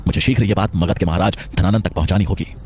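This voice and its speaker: A man's voice: low voice, fast talker, darkish tone, husky. A man playing a proud, principled scholar and teacher, speaking in an earnest, formal, declamatory way. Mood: resolute.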